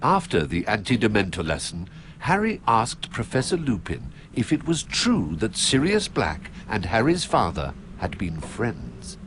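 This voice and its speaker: A man narrates game cutscenes in a measured storybook way, with his voice sitting somewhat low. His pitch moves up and down expressively. Background noise runs clearly under his voice in the game audio. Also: wildly swooping pitch.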